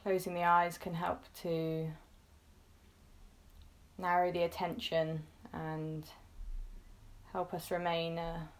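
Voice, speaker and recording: Female meditation guide. Unhurried, very slow delivery, slightly low pitch, gentle and reassuring. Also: little pitch movement. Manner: gentle, unhurried, reassuring, with pauses between short phrases.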